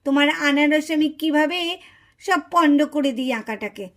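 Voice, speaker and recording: Woman as a high, spiteful little girl doll. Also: normal speed, fairly bright tone. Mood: spiteful, scheming. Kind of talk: puppet doll story for children.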